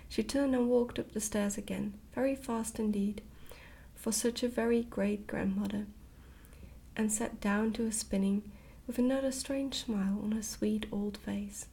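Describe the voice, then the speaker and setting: A woman reading a story aloud in a soft, near-whispered ASMR narration. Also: fairly high pitch, fairly even intonation, normal speed, vocal fry. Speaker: ASMR reader.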